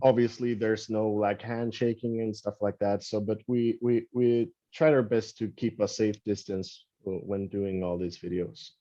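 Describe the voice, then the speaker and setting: Mellow Swedish-accented man on a dull line. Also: lowish voice, fairly even intonation, normal speed, few pauses, no room sound. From Sweden. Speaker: band member. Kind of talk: casual interview over a call.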